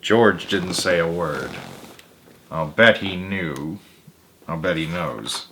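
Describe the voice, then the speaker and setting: A man's voice: sits low, slow pace, a little hiss or hum. A man reading a story aloud expressively, dropping in muttered asides of his own. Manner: expressive, with emphatic character lines and muttered asides.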